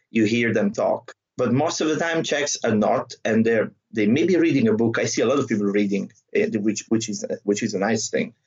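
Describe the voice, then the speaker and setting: A man from southern Italy with an Italian accent, a comedian answering interview questions in an animated way, laughing often and restarting his words. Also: mid-range voice, husky. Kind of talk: conversational interview. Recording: dull lo-fi audio.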